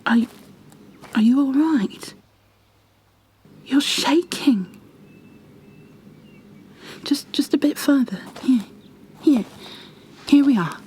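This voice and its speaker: A woman playing a kind, flustered young bookkeeper in a fantasy ASMR roleplay, speaking softly and breathily, often near a whisper, with gentle hesitations and apologies. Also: high voice, expressive, slow, pauses often, a little hiss or hum. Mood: worried, caring. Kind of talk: ASMR roleplay, addressed to the listener.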